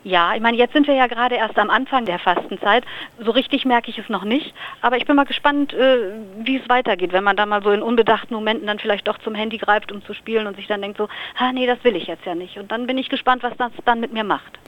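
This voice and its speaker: A woman from Lower Saxony answering questions in a relaxed radio interview, thinking aloud with small fillers. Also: fast talker. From Lower Saxony, Germany. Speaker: interview guest. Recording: slightly dull radio recording.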